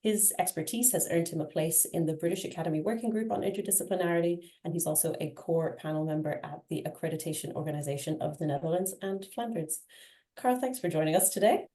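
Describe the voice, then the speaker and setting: A woman hosting a podcast interview, asking questions with warm enthusiasm. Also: on the low side, quick pace, echoey room. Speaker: podcast host.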